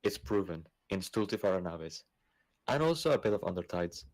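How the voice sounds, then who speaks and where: Low-voiced man with a Spanish accent. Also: sing-song, a little fast, darkish tone, low-quality recording. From Spain. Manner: easygoing, chuckling, trailing off mid-thought. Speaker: streamer. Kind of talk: casual gaming stream chat.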